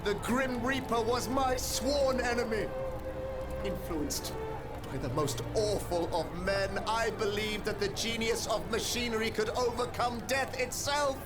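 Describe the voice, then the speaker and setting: An actor playing a sorrowful, self-pitying condemned French king in a game cutscene, speaking in a grandiloquent, mournful way. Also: very high voice. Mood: solemn, lamenting. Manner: grandiloquent, mournful, drawn-out delivery. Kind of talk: theatrical game cutscene monologue. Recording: loud music under the voice. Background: loud music.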